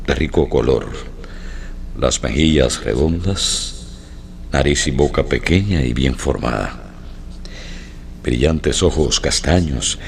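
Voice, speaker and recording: Very deep, gravelly male narrator. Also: slight background noise. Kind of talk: scripted descriptive radio narration.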